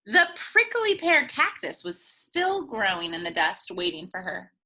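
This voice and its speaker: A woman teacher reading a picture book aloud to young children, expressive and full of playful asides. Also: mid-range voice, a little slow, rough recording. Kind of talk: children's storytime read-aloud.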